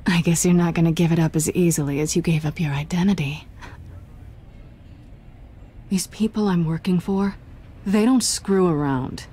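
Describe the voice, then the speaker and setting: A woman playing a sly, flirtatious, self-assured thief in a video game, with a teasing, sultry, deliberate delivery. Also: on the low side, dark tone, breathy edge. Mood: pressing, cool.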